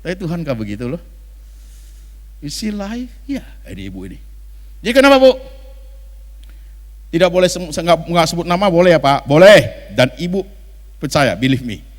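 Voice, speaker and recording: A man's voice: fairly high pitch, lively intonation, normal speed, pauses often. A man with an Indonesian accent preaching as a pastor, telling stories dramatically in colloquial speech sprinkled with English phrases. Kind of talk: animated storytelling sermon acting out dialogue. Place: large church function hall. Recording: reverberant hall sound.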